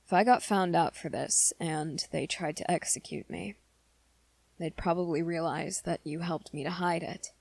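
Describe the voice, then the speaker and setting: Slow, low-voiced shy young werewolf boy played by a woman. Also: vocal fry, no echo, exaggerated voice. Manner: halting, hesitant, soft-spoken. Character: shy, earnest young male werewolf, lovestruck and guilt-ridden. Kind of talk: ASMR romance roleplay audio drama.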